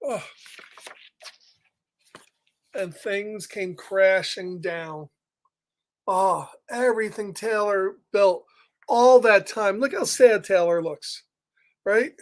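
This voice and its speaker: A male storyteller with a high voice and a slow pace. He reads a picture book live to children, exclaiming over it and chatting casually with listeners between lines. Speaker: children's story reader and host.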